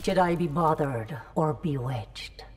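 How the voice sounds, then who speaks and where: A woman voicing a haughty, predatory fighter in a video game, cold and deliberate. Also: sits low, a little mellow, slight background noise. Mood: cold disdain.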